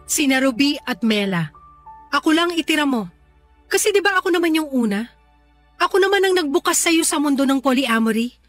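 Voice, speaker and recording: High, lively Filipino actress as pleading scheming girlfriend. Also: brisk, soft background music. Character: pleading, scheming first girlfriend in a polyamorous household. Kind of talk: Tagalog radio drama acting.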